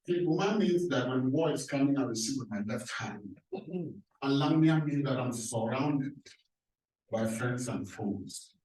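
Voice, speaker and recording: A man's voice: mid-range voice, measured pace, a little mellow, recorded from a distance. Impassioned man in an echoey hall. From Cameroon. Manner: impassioned, emphatic rhetorical questions. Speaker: professor on a panel. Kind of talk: panel self-introduction.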